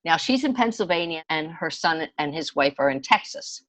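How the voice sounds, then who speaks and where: A woman in her sixties with a slightly low voice, recorded dry without room echo. She talks like a podcast guest swapping personal stories, chatty and emphatic as she moves from one anecdote to the next. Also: expressive, moderate pace, a bit bright. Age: 60s. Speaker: podcast guest, homeopathy enthusiast. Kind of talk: conversational personal storytelling.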